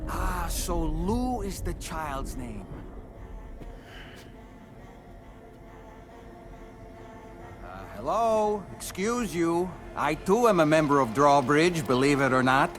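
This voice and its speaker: A man's voice: on the high side, sing-song, a little slow, few pauses, music in the background. A man acting a living puppet in a video game, once a spirit medium, courteous, formal and easily indignant, speaking theatrically. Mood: courteous, proud.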